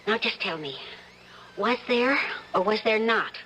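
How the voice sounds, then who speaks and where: An actress playing an anguished but determined wife in earnest, melodramatic old-time radio drama style. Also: female voice, medium pitch, lively intonation, a little slow, fairly bright tone, slight background noise, rough recording. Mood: urgent, firm. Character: anguished, determined wife secretly seeking the truth.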